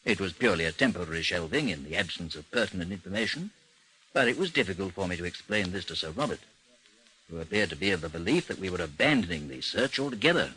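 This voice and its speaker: An actor playing a weary investigator, narrating a case in formal, measured old-time radio style with a husky voice. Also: male voice, sits low, lively intonation, sharp tone, full character voice, low-quality recording. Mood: grave, matter-of-fact. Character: world-weary male investigator narrating his case report.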